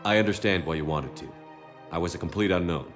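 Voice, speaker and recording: A man playing a stern, steady space military commander in a video game, with measured, terse and authoritative delivery. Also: low-pitched, soft background music. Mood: reassuring. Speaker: game protagonist. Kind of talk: cinematic video game acting.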